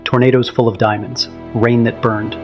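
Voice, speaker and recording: A man's voice: mid-range voice, little pitch movement, moderate pace, natural voice. An actor as an earnest, grave storytelling man over music. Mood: grave, reflective. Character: grown Kal-El recounting his boyhood, earnest and grave. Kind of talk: scripted narration of a comic adventure.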